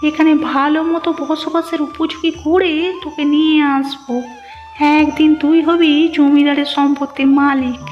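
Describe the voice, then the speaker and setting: A woman's voice: high voice, fairly even intonation, normal speed, long reverb tail, lo-fi sound, soft background music, close to an everyday voice. A woman voicing a scheming, resentful village woman in a Bengali cartoon. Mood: scheming, insistent. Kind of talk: Bengali moral-story cartoon dubbing.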